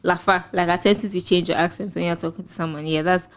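A woman's voice: lowish voice, fairly even intonation, fast. A young woman with a Ghanaian accent, casually chatting as a podcast co-host, reading out and reacting to listener posts with frequent laughter. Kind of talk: casual chat.

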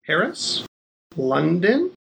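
A man's voice: high-pitched, huge pitch swings, extremely slow, creaky, a bit bright, exaggerated voice, poor audio. A man acting in a modern audio drama as an eager, teasing, devoted young man, boyish and coaxing. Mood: apologetic, coaxing.

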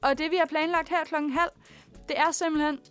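A woman's voice: high-pitched, steady tone, fairly bright tone, soft background music. A woman co-hosting a radio show in a casual, conversational style. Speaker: radio co-host. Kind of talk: casual chat.

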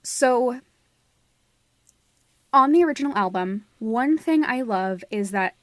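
A woman's voice: a little high, animated, slow pace, fairly bright tone, no room sound. A woman co-hosting a podcast, discussing theatre in a casual, opinionated way, gushing and repeating phrases for emphasis. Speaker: podcast co-host.

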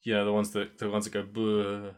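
A man's voice: lowish voice, completely flat delivery, fairly quick, smooth. A man co-hosting a podcast, chatting casually about a video game, rambling with many fillers and restarts and laughing often. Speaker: podcast co-host.

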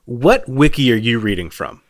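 Male co-host, medium pitch, wry and casual, trading dry comedic banter on a podcast. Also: sing-song, normal speed.

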